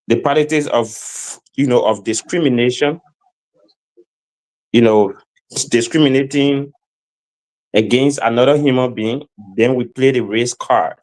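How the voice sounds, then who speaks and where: Slow, emphatic man lecturing. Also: medium pitch, poor audio. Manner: slow and emphatic, repeats phrases and stammers. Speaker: discussion guest. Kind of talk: lecturing opinion.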